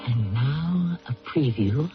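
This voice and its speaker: A man announcing and narrating a scripted radio drama in a measured, formal manner. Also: mid-range voice, animated, vocal fry. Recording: lo-fi 1970s radio recording with music.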